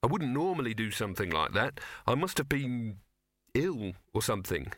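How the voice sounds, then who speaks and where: A man plays a flustered young man in a comic novel. He is hesitant and apologetic, in a bright voice with a dry, close sound. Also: mid-range voice, very animated, normal speed, no echo. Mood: sheepish. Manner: hesitant, stammering politeness.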